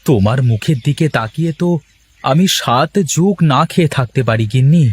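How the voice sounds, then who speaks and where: A man's voice: mid-range voice, a little fast, slightly rough, dry recording, lightly acted. A man playing a weary village fisherman husband in a cartoon, grumbling and teasing in a colloquial, theatrical way. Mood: tender, teasing. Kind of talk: Bengali cartoon moral-story dialogue.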